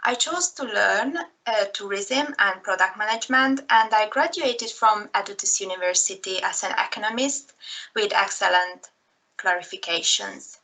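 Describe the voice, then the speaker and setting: A woman's voice: medium pitch, normal speed, pushed and bright, poor audio. A woman from Hungary in her thirties with a Hungarian accent, giving a recorded slideshow talk in a careful manner with frequent fillers. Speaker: presenter.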